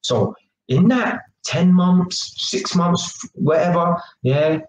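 A man's voice: sits high, takes it slowly. A man, talking casually and with a lot of slang to a webcam in a small kitchen, often checking in with "yeah?". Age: 20s. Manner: animated, slangy, keeps asking "yeah?" and gesturing. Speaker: vlogger. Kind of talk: casual monologue to camera. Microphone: webcam at arm's length. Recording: echoey kitchen, faint noise.

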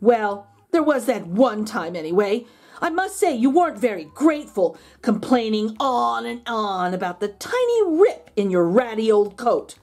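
A woman's voice: on the high side, sing-song, a little slow, darkish tone, faint music behind, heavily acted. A woman voicing an indignant, self-pitying pet dog in a children's storybook, pleading with dramatic emphasis. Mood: indignant complaining. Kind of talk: children's storybook read-aloud.

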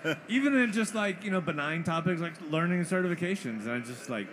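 A man's voice: high-pitched, expressive, fast. Man, chatty, upbeat, conference panel, noisy stream. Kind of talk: casual panel chat.

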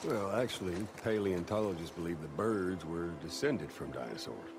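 An actor playing a dry, laconic middle-aged Texan father figure, gruff but gentle, in a naturalistic video game style. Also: low-pitched, lively intonation, normal speed, background noise. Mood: gently teasing.

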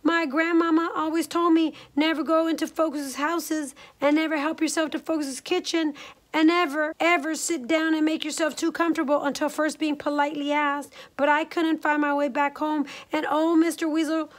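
A woman's voice: high voice, little pitch movement, moderate pace, voice pushed up, close to an everyday voice. A woman voicing a polite, frightened little lost girl in a storybook, earnest and pleading. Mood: pleading, apologetic.

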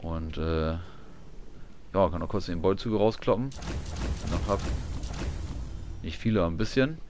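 A man's voice: low-pitched, moderate pace. A man giving casual gameplay commentary, muttering along as he reads menu items, with game sound behind him. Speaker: gamer commentating his playthrough. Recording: clear background noise, game ambience.

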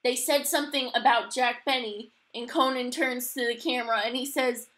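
A young adult woman hosting a podcast, chatting casually in informal, halting, tentative phrases. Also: fairly high pitch, steady tone, fairly bright tone. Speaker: podcast host. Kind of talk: casual interview chat.

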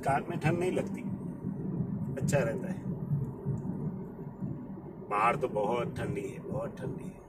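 A man's voice: medium pitch, unhurried, long pauses. A man in his forties chatting casually to viewers as a travel vlogger while driving and camping. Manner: relaxed, chatty, addresses viewers directly. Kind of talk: casual travel vlog chat. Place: inside a moving car on a highway, later outdoors in a field. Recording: distant mic with loud road and wind noise. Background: car engine and road noise.

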